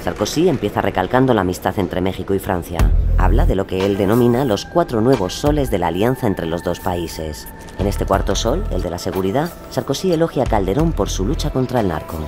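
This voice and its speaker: A man's voice: lowish voice, expressive, fairly quick, music in the background. A man narrating a scripted documentary drama.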